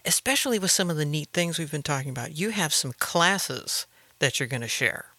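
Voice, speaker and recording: A man's voice: on the high side, expressive, fairly quick, pushed and bright, no echo. A man hosting a casual on-air interview as a radio host.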